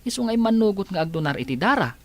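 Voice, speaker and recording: Man acting as hesitant younger man, Filipino accent, audio drama, mild, fairly high voice. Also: very animated, racing.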